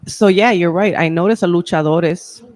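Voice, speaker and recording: A woman's voice: fairly low pitch, normal speed, a little mellow. A woman hosting a casual live chat, talking in a chatty way with lots of "like" and "right?". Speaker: host. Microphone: handheld mic, filming on phone.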